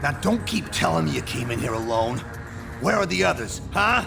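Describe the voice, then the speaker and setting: Cocky gravelly bad guy in a game, jeering, music behind him. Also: a little high, big pitch swings, moderate pace, full character voice. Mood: taunting. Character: cocky, taunting male thug villain. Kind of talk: video game villain acting.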